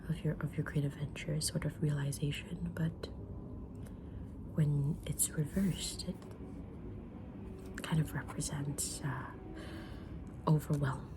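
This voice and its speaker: Woman, breathy whisper, low and even, gentle witch fortune-teller, ASMR roleplay, audible background noise. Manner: gentle, soothing, unhurried fortune-teller delivery. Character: kind mysterious witch reading tarot. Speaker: ASMR creator playing a tarot reader.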